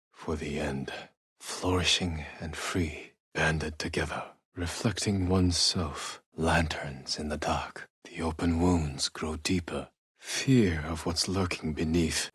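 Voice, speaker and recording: A man playing a solemn, stoic samurai warrior in a video game, speaking in hushed, measured, poetic phrases with a breathy voice. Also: no echo, clean studio sound, full character voice. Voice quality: low, breathy, husky. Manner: hushed, contemplative, deliberate phrasing. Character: solemn, reflective male samurai warrior, stoic and honor-bound.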